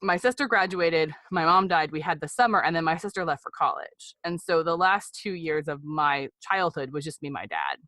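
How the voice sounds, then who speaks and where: Podcast guest: American woman in her thirties, low-pitched and dry-sounding, candid and chatty, sharing personal stories. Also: animated, moderate pace, few pauses, pushed and bright, no room sound. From Seattle area, United States. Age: 30s. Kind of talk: personal storytelling in a conversational interview.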